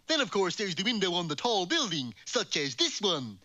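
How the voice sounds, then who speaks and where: An actor voicing a dim but self-assured moose giving mock instruction in a cartoon, in a dopey tone. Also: male voice, high-pitched, sing-song, moderate pace, sharp tone, no echo, full character voice. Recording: noisy old cartoon soundtrack.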